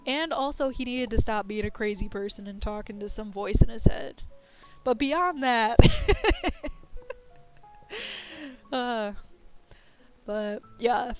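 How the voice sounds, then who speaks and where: A woman streamer chatting casually at the end of a live game stream, her voice scratchy and going hoarse, laughing often. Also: on the high side, very animated, slow pace, pauses often, faint music behind.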